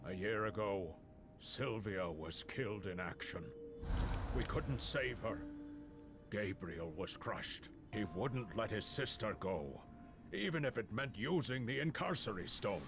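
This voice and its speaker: A grave older master monster hunter in a fantasy game. He is slow, creaky and deliberate, with dramatic, embittered weight. Music plays clearly behind him. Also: on the low side, expressive. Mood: grave, recounting. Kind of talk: fantasy game character acting.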